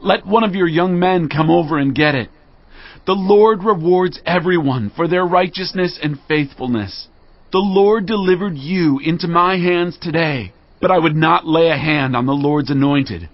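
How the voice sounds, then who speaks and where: Man acting a high-voiced, earnest warrior leader. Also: normal speed, dry recording, muffled recording, close to an everyday voice. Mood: impassioned pleading. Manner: declamatory, emphatic. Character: bold, earnest warrior leader, loyal and righteous. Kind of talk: dramatized audio Bible acting.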